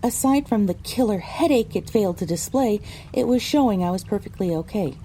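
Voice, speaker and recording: A woman playing a pony who narrates her own adventure in a measured, descriptive storytelling style. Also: mid-range voice, lively intonation, dark tone, a little hiss or hum, lightly acted. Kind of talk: scripted first-person story narration.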